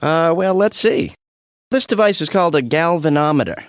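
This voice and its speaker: A man's voice: on the high side, expressive, moderate pace, no room sound, studio quality, natural voice. A man voicing a laid-back, jokey science professor in a cartoon, explaining things in a breezy, casual way. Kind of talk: animated educational courtroom dialogue.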